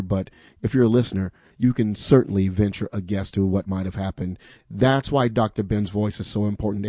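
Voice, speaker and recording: A man on a radio pledge drive makes an emphatic, persuasive appeal to listeners. His voice is dark and mellow, recorded close and dry in a studio. He asks rhetorical questions and repeats the call-in number again and again. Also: mid-range voice, no echo. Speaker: radio fund drive host.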